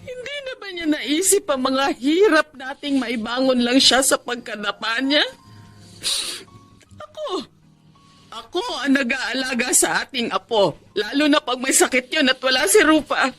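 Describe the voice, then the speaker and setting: A fretful middle-aged Filipino mother in a radio serial. Her voice is high-pitched and reproachful, with music underneath. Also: lively intonation, unhurried, fairly bright tone. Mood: hurt, fretful. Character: worried, scolding Filipino mother and grandmother. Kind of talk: Filipino radio drama acting.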